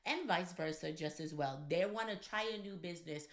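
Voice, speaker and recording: A woman co-hosts a casual podcast with her husband, her voice sitting low. She talks straight to the listeners in an animated, preachy way, and her voice rings in an echoey room. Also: fairly quick. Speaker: co-host.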